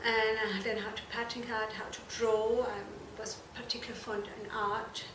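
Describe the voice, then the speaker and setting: High-voiced woman, echoey distant recording. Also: level tone, normal speed, a bit bright. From Germany. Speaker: fashion and shoe designer being interviewed. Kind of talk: informal interview answers. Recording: echoey room, distant mic, noisy background.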